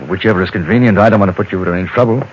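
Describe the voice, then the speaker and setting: Radio Western lead: male actor as English gentleman reporter, reserved, skeptical, lowish voice, noisy muffled vintage sound. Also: very fast, close to an everyday voice. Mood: courteous. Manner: polite, reserved, dryly skeptical. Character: urbane English newspaper correspondent traveling the frontier. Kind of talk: old radio Western acting.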